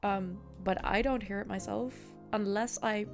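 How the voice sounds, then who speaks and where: Dutch girl streaming, a bit of vocal fry, chatty with chat, soft music underneath. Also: medium pitch, fairly even intonation, measured pace. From Netherlands. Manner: chatty, answers chat, frequent laughs and restarts. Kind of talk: casual chat with viewers while gaming.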